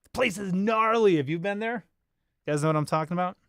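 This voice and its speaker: A man with an American accent chats off the cuff as a livestream host. His voice sits a little high and is very clean and smooth, recorded close and dry. He rambles informally and jokes along with his viewers. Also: fast talker, fairly bright tone, no echo. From Los Angeles, United States. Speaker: bass player and livestream host. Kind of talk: casual livestream chat.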